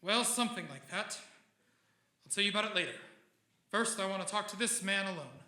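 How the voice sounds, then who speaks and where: High-voiced, unravelling paranoid scientist. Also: male voice, lively intonation, heavily acted. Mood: brisk, evasive. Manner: halting, repeats himself, stammers. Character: unravelling paranoid scientist doctor under a brain's control. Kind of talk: old-time radio drama acting, live on stage. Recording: echoey stage, loud piano music behind.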